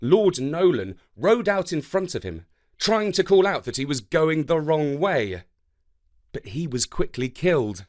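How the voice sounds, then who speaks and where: A man narrating scripted history and verse for children in a measured, dramatic way. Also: on the high side. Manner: measured, expressive, dramatic delivery. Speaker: storyteller narrator.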